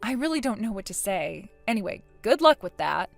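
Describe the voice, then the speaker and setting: A woman's voice: medium pitch, sing-song, brisk, vocal fry, faint music behind. A woman voicing a blunt, judgmental, scornful woman in story dialogue, smug and needling in a matter-of-fact way. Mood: dismissive.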